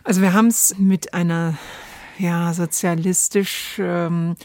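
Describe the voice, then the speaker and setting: Woman podcast host, lowish voice, chatting and feeling for words, really dry studio sound. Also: steady tone, takes it slowly, darkish tone, no echo. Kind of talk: conversational radio drama discussion.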